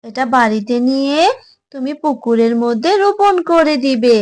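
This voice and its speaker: High-voiced kindly magic tree played by a woman. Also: expressive, normal speed, a little mellow. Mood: kindly, reassuring. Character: kindly magical talking tree, gentle helper of the poor. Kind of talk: cartoon voice acting.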